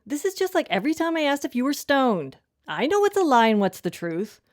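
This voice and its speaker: A woman's voice: sits high, very animated, brisk. An actress playing a fussy, genteel middle-aged suburban mother in an audio drama, gushing and mannered. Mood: wounded. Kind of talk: scripted audio drama acting.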